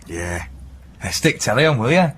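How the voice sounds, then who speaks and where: An actor playing a controlling, suspicious working-class husband in an intimate radio drama. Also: male voice, medium pitch, big pitch swings, normal speed, fairly bright tone, slight background noise. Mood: casual.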